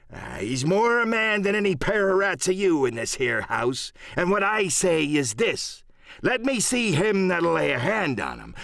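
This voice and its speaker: A cunning old pirate sea cook for an audiobook. His pitch leaps and plunges through rustic sailor talk, blustering one moment and wheedling the next. The sound is close and dry. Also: vocal fry, fairly bright tone, no echo, exaggerated voice. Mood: defiant, threatening. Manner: rustic sailor speech, emphatic and wheedling by turns. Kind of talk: dramatic audiobook character acting.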